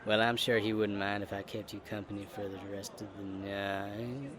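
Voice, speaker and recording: A man's voice: on the low side, little pitch movement, normal speed, a crowd in the background, natural voice. A man playing a smarmy, cowardly flirt with a Southern US accent in a Western romance audio drama. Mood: smooth-talking, flirtatious.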